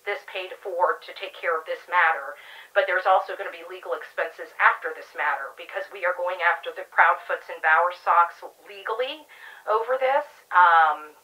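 A woman's voice: on the low side, sharp tone. A woman talking off the cuff, defensive and insistent, repeating her assurances, in tinny phone-like audio. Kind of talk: defensive unscripted talk.

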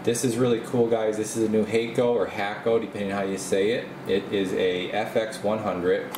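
Man, low-pitched voice, casual explanatory workshop host, roomy sound, steady background hum. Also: fairly quick. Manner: informal, unscripted, explanatory. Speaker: host of a radio repair channel. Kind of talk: casual show-and-tell workbench tour. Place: small indoor workshop at an electronics bench. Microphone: handheld camera mic, speaker behind camera. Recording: roomy, with steady air-conditioner-like noise.

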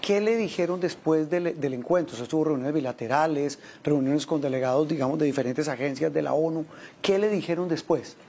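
Higher-voiced male news interviewer. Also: brisk, dark tone. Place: indoor furnished room.

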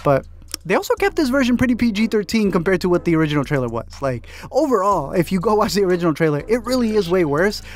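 Fast-talking man recapping a movie. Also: sits high, sing-song. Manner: quick, wry, joking delivery. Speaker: host.

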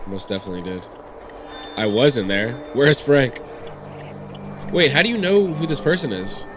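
A man's voice: expressive, moderate pace, background noise. A man in his thirties with a nasal voice, getting over a cold, giving jumpy, swearing commentary while playing a horror game on a headset mic. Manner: jumpy, swearing, yelps in high bursts when startled. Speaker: gaming streamer. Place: indoors at a gaming desk. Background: game music.

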